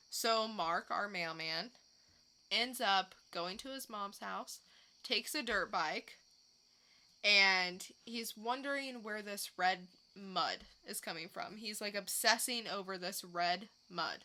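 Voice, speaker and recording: Slow-paced woman chatting with a bright voice. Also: medium pitch. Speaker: podcast co-host reviewing comics. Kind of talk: casual, unscripted comic recap.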